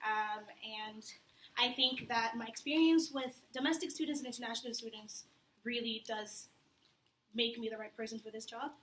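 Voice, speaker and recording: A woman's voice: a little high, steady tone, normal speed, a bit bright. A young woman from Tanzania, a student candidate, gives an informal, candid and conversational campaign speech to an audience. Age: young adult. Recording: echoey room with faint background noise.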